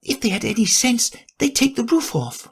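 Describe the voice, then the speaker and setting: Guy reading it doing a prim little girl thinking out loud, voice bouncing up and down, storybook style. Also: very high voice, very animated, normal speed, slightly rough, voice pushed up, exaggerated voice. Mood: musing. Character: curious, sensible young girl talking to herself.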